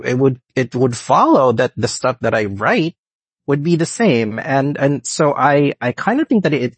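A man in his late thirties with a Filipino accent, answering interview questions in an earnest, halting way. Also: mid-range voice, breathy edge, no room sound. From Philippines. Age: late 30s. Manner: earnest, halting, restarts often. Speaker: guest researcher.

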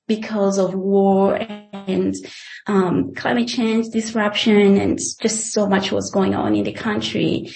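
A young woman from the Democratic Republic of Congo, a guest telling her life story in an interview, speaking hesitantly with many pauses and fillers. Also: medium pitch, creaky, a little mellow, low-quality recording.

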